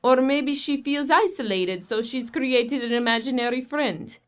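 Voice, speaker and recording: A woman's voice: high voice, measured pace. A woman playing a worried, bitter young girlfriend in an audio horror drama, speaking earnestly and with exasperation. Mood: exasperated.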